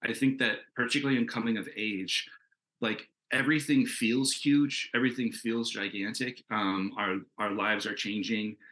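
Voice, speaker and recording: Man, fairly low pitch, bright tone, casual panel guest, comics creator, enthusiastic, rambling. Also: rough recording.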